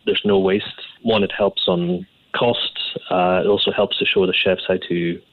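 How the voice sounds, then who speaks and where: A man's voice: deep voice, level tone, unhurried, no room sound, phone-quality sound. A Scottish man, an executive chef, answering questions conversationally in an interview. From Scotland.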